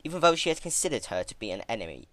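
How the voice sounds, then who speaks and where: A narrator reads fiction aloud in a dramatic, scripted style. The voice sits at a middling pitch with very lively movement and a sharp, bright edge, recorded dry. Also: very fast.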